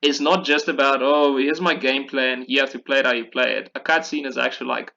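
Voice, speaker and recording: A man's voice: mid-range voice, steady tone, fairly quick, gravelly, bright, forceful tone, lo-fi sound. A man hosting a casual discussion, explaining things in a rambling, informal way. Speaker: podcast host. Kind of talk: casual video game history discussion.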